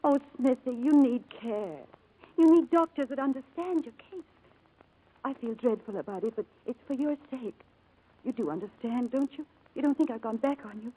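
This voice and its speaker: A woman's voice: high-pitched, normal speed, dark tone, slightly rough, low-quality recording. An actress playing a devoted, spirited young woman in a 1940s radio drama, speaking in an earnest, pleading and effusive way. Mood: anguished, pleading. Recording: old radio broadcast with music behind.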